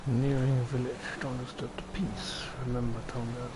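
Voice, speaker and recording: A man's voice: mid-range voice, level tone, moderate pace, heavy background noise. A man giving casual video game commentary, hesitant and thinking aloud as he plays. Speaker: gamer hosting a playthrough. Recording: loud game music behind voice.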